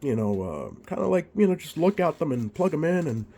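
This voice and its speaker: A man with a slightly scratchy voice, getting over a cold, talks informally through a repair demonstration in a hesitant, unhurried way, thinking aloud. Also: on the high side, big pitch swings, warm tone. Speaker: radio repair technician and video host. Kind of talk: informal bench demonstration vlog. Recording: clearly audible background noise.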